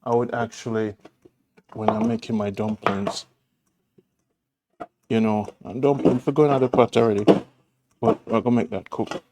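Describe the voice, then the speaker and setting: A man's voice: fairly low pitch, fairly even intonation, normal speed, long pauses, background noise. A man with a Jamaican accent, from Jamaica, hosting a casual cooking demonstration in his home kitchen, chatting and rambling with homely asides as he works. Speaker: cooking show host.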